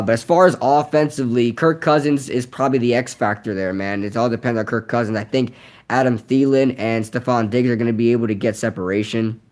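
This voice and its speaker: A young man whose voice is nearly shot from yelling, rambling casually through a live Q&A with lots of fillers and repeated words. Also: mid-range voice. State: hoarse, voice nearly gone from yelling. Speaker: streamer hosting a live Q&A. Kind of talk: casual live-stream chat reading comments.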